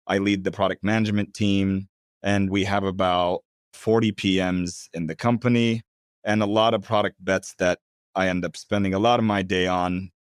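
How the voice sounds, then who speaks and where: A man in his thirties from Turkey, a product executive calmly explaining his work in an interview. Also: sits low, clean tone, dry recording, studio quality. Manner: measured, explanatory. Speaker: head of product, guest. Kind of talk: interview answers.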